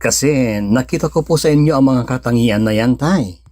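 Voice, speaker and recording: An actor playing a devout, tender young husband and father in a sentimental radio drama, speaking gently and sincerely with a Filipino accent. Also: mid-range voice, normal speed, dry recording, studio quality, natural voice. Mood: grateful, respectful. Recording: music bed under the voice.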